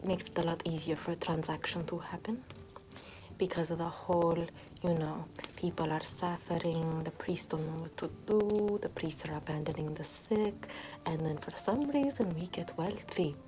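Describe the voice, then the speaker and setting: A woman playing a money-minded medieval nun in a soft, whispered ASMR roleplay, halting and confiding. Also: on the low side, slight background noise, close to an everyday voice, low-quality recording. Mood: hushed, confiding. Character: mercenary medieval nun tending a plague patient.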